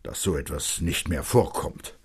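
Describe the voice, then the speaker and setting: A man's voice: rumbling low, measured pace, darkish tone, slightly rough, heavily acted. A man voicing a stern, hot-tempered father who talks in a hard, scolding manner in a story reading. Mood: relenting, stern. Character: tall stern angry father, gruff and authoritative.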